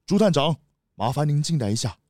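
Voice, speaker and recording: Man acting an anxious mayor, lively pitch swings. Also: brisk, close to an everyday voice. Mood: placating. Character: anxious, placating city mayor hosting a cruise.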